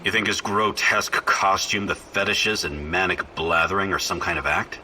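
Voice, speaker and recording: Deep, hard-edged veteran soldier. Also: male voice, normal speed, a little hiss or hum. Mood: suspicious, blunt. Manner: blunt, profane, forceful. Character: gruff, suspicious, hard-boiled male veteran soldier. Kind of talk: video game character acting.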